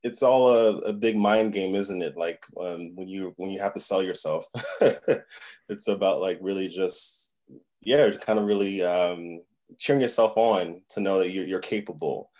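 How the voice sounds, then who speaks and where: A man speaking as a guest in a reflective, halting way, with many fillers. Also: deep voice, a little mellow. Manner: halting, filler-laden, laughs lightly. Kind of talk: reflective conversation.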